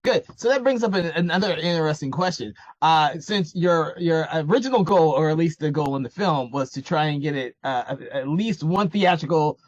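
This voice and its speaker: A man's voice: fairly high pitch, animated, normal speed, few pauses, no room sound, dull audio. A man interviewing someone casually, stammering and repeating words as he works toward his questions.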